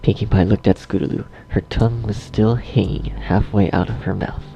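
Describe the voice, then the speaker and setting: A man's voice: on the low side, steady tone, normal speed, creaky, mellow, lo-fi sound. A man narrating a scripted horror story dramatically, as its storyteller. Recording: faint music behind the voice.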